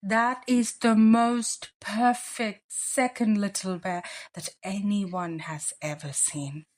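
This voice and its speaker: A woman voicing a loving father bear, gentle and reassuring, in a children's storybook read-aloud. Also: mid-range voice, fairly even intonation, takes it slowly, close to an everyday voice. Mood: tender.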